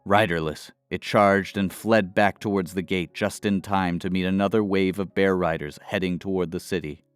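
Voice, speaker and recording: A man narrating a scripted fantasy adventure in a dramatic, measured storytelling manner. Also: sits low, steady tone, clean tone, dry recording.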